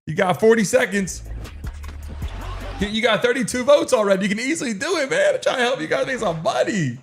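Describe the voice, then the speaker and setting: A man's voice: extremely high pitch, lively intonation, a little fast, faint music behind. A man hosting a live stream, urging his chat along with insistent, repetitive calls and countdowns. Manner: urgent, insistent, repeating himself. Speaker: livestream host running chat polls.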